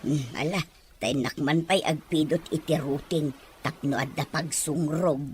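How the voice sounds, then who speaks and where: Woman acting grumbly female employee, drama dialogue, kinda low voice, faint noise. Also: a little slow, a little mellow. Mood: grumbling. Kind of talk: scripted Ilocano audio drama dialogue.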